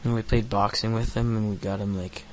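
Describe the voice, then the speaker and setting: Teen boy podcast host, low flat delivery, crude rambling rant, light background hiss. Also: brisk. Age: teens. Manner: crude, profane, rambling with many fillers. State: recovering from hoarseness. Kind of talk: casual solo podcast rant.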